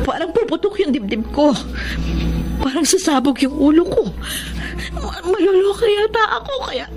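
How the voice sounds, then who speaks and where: An actress playing a lonely young woman with an emotional, pleading delivery in a Tagalog radio drama. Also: high voice. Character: May, lonely young woman missing her boyfriend, defensive.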